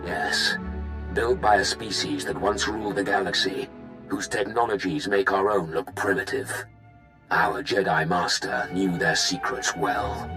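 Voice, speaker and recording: A proud, ruthless warlord from a space fantasy game. His voice is very low and his delivery is solemn and commanding. Music swells clearly behind him. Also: fairly even intonation. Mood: grave authority. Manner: solemn, imperious, measured. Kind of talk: dramatic video game acting.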